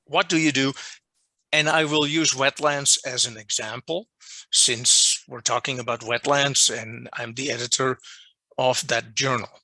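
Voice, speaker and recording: A Dutch-accented man lectures over a video call in slow, unhurried English. His voice is very bright and sharp, and it sits close and dry against the mic. He talks deliberately and comes back to his main points to make them land. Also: mid-range voice, steady tone, dry recording. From Netherlands. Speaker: journal editor presenting a workshop. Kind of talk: online lecture. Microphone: video call microphone.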